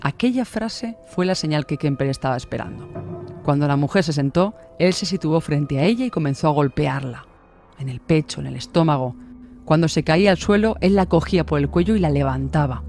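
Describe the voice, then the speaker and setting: A man narrates a true-crime story in a scripted, dramatic style. His voice sits a little high for a man. Faint music plays behind him. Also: animated, brisk, vocal fry, a little mellow.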